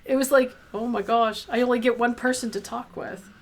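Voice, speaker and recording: A woman hosting a casual livestream interview, talking in an animated way and acting out little quoted asides. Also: fairly high pitch, brisk, slight background noise. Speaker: host interviewing guests.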